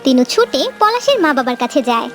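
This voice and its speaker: A woman's voice: sits high, sing-song, quick pace, a bit bright, faint music behind. Woman narrating a cartoon story.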